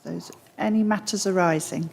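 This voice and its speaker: A woman's voice: lowish voice, normal speed, creaky, a little mellow, breathy edge. A woman chairing a committee, taking a meeting through its formal procedure in a council room. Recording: echoey meeting hall, long reverb.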